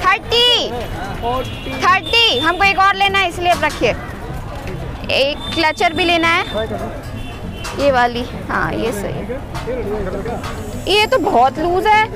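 High-voiced young woman vlogging a street market. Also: very animated, takes it slowly, long pauses, over loud music, over a noisy crowd. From India. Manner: chatty, bargaining with shopkeepers. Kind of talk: casual shopping vlog. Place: busy outdoor street market.

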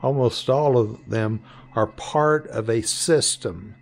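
An older man in his sixties or beyond, informally explaining and demonstrating products in deliberate, halting phrases with pauses. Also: mid-range voice, gravelly. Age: 60s or older. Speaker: maker presenting his 3D printed products. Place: small indoor workshop.

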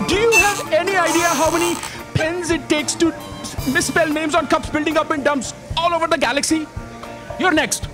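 A man's voice: very high-pitched, steady tone, moderate pace, over music. A middle-aged man playing a fussy, matter-of-fact office assistant in a staged audio drama. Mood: explanatory. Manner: theatrical, explanatory. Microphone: stand microphone on a theatre stage.

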